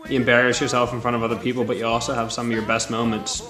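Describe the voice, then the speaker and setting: A man's voice: mid-range voice, flat delivery, very fast, music in the background. Young man in an echoey room. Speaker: drama club student. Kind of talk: casual recorded testimonial.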